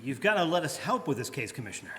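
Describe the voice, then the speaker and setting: A man playing a calm, wry gentleman detective in an old-time radio drama, speaking with composure. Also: medium pitch, quick pace. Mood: composed. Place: live performance in a church. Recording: echoey church hall.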